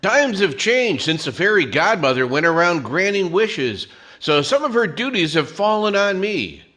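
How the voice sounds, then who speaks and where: A man's voice: high-pitched, big pitch swings, moderate pace, bright, forceful tone, slight background noise, exaggerated voice. A man playing a genial, well-meaning 1940s radio announcer with a polished, emphatic delivery. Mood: upbeat, selling.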